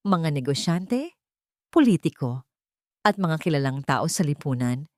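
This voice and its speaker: A woman's voice: lowish voice, darkish tone, no echo, clean studio sound. A woman reading a scripted romantic drama story as its narrator, in a dramatic storytelling style.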